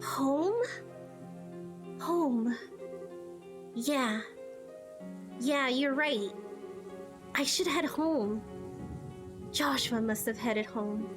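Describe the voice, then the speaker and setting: A woman voicing a heartbroken teenage girl in denial in a game story, halting and emotional. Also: high voice. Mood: dazed denial. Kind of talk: amateur game character voice acting. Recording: game music behind.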